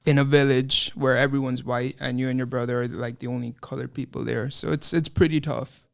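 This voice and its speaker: A man's voice: mid-range voice, little pitch movement, a little fast, gravelly. A young man with an Irish accent, a chef guest on a podcast, talking casually and laughing easily as he tells his story. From Ireland. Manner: laid-back, laughs easily, "do you know what I mean". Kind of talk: casual chat.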